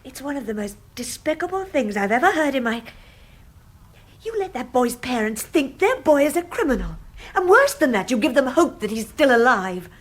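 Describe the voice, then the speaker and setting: A woman's voice: high-pitched, very animated, moderate pace. An actress in a radio drama playing an indignant, distressed Englishwoman, with an English accent. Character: indignant, distressed Englishwoman confronting her brother.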